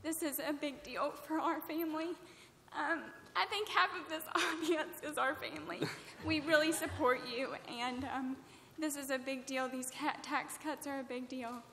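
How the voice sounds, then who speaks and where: A woman's voice: high voice, steady tone, moderate pace, sharp tone. An American woman, close to tears, giving unscripted heartfelt thanks as a guest at a roundtable. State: emotional, near tears. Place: large event room with audience. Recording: echoey hall, noticeable background noise.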